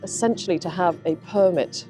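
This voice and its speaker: A woman's voice: fairly low pitch, normal speed, warm tone, soft background music. A woman chatting casually and enthusiastically outdoors on a river foreshore, as a guide to her hobby. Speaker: mudlarker and artist, guest guide.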